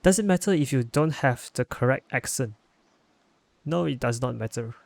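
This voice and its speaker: Gravelly young man, casual podcast. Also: mid-range voice, normal speed. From Malaysia. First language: Chinese. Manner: relaxed, stumbles and restarts. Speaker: podcast co-host. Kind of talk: casual chat.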